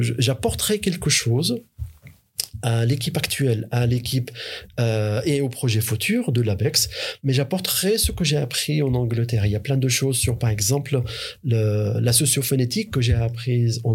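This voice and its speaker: A man's voice: mid-range voice, a little mellow, breathy edge. A man, a researcher, answering interview questions in a hesitant way, with many fillers and restarts. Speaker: researcher and university teacher.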